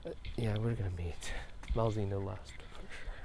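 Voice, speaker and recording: Low hushed man whispering outdoors at night. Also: moderate pace. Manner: hushed, half-whispered, hesitant rambling. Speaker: gaming and ASMR video creator. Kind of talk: ASMR whispered rambling chat. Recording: noisy night outdoors, frogs croaking. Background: frogs croaking, night ambience.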